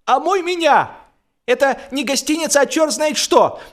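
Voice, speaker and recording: Squeaky, plaintive tipsy young man. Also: lively intonation, moderate pace. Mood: plaintive, indignant. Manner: hesitant, plaintive.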